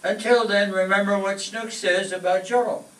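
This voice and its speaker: High-voiced male radio announcer, echoey. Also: steady tone, normal speed, a bit bright, slight background noise. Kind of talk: old-time radio announcing. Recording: echoey, distant mic, faint music.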